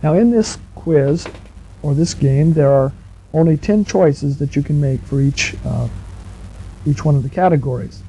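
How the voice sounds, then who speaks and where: A man's voice: fairly high pitch, a little slow, warm tone, a little hiss or hum. A man giving instructions in an informal, chatty way, speaking directly to the listener as on a tutorial tape. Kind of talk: conversational instructional narration. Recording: muffled 1970s cassette tape recording.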